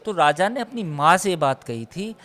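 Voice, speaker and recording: A male reporter talks through a case in a live news discussion. His voice sits a little high. He is emphatic, restating points and reeling off figures as he explains. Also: lively intonation, moderate pace, fairly bright tone.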